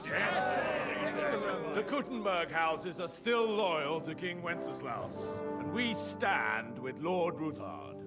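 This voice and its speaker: Hearty, animated loyal lord. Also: male voice, fairly high pitch, slow pace. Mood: fervent. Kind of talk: dramatic scripted game acting. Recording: distant voice, loud music behind.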